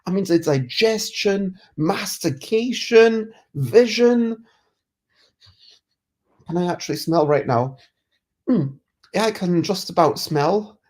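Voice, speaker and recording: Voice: medium pitch, expressive, slow pace, long pauses, a little mellow. A streamer with a stuffy cold, musing in casual rambling chat and reading out viewer comments. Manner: musing, easygoing, self-interrupting. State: congested with a cold. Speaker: streamer hosting a chat show.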